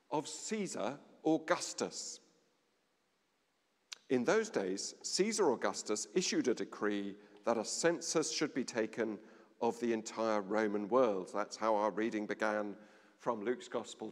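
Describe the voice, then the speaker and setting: A middle-aged man giving a sermon at a lectern in a large stone church, measured, with dramatic pauses and a voice that drops low. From England. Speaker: vicar. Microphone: standing at a lectern, far camera. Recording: long church reverb.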